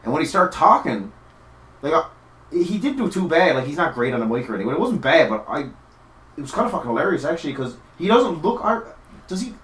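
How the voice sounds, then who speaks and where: Fast-talking, lively young man from Newfoundland. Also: on the high side. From Newfoundland, Canada. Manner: rambling, swears casually, laughs a lot. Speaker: podcast co-host. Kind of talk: casual chat. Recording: echoey room.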